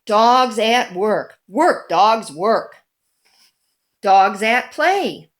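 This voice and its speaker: A woman reading a children's picture book aloud in a deliberate, animated storytelling manner. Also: mid-range voice, slight background noise.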